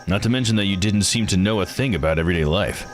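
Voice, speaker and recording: A low-voiced, plain-spoken man from a visual novel, read out by a male player. He is gruff and probing, speaking bluntly over faint music. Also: quick pace, lightly acted. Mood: matter-of-fact.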